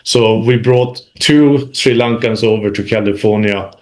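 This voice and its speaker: A man's voice: on the low side, unhurried, darkish tone. Man recounting his career. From Sweden. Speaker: interview guest, manufacturing executive. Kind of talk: recounting career experience in conversation.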